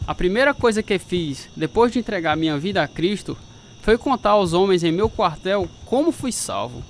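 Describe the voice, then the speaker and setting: High-pitched, animated young Brazilian sailor. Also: male voice, normal speed, slight background noise. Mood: resolute. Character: reformed rough young sailor, earnest grateful convert. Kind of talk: radio drama dialogue.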